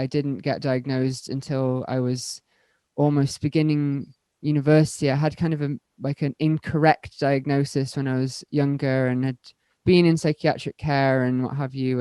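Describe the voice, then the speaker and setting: A young woman speaking as a panel guest, candid and stumbling, repeating words as she rushes ahead. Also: extremely low pitch, warm tone, clear, resonant tone, no room sound. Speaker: panel guest, electronic musician. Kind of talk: panel discussion.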